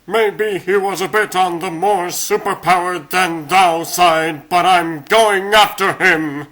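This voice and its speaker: A man's voice: high-pitched, takes it slowly, pushed and bright, faint music behind, heavily acted. A man voicing a plucky, sarcastic little talking rabbit superhero in a cartoon comic dub. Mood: wry, musing. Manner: sarcastic, chatty, quipping. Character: wisecracking, plucky three-foot talking rabbit superhero, male.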